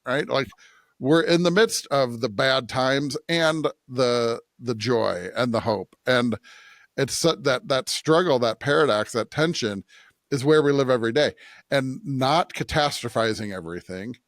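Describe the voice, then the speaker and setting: An American man with a medium-pitched voice discusses religion as a podcast co-host. He thinks aloud haltingly, circling back to rephrase and tagging points with a questioning check. The recording is dry and free of room echo. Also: a bit bright.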